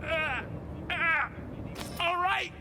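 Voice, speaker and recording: Shrill, bellowing crude thug. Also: male voice, very slow, creaky, heavily acted. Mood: belligerent, impatient. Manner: coarse, bellowing, slangy. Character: crude, loud, hungry thug squatting in a wrecked club. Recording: noisy, long echoing reverb.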